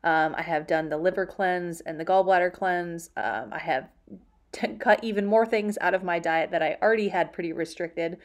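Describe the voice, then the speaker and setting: A woman's voice: lowish voice, moderate pace. A woman in her thirties, a homemaking vlogger, answering questions casually in a candid, reflective, explanatory way.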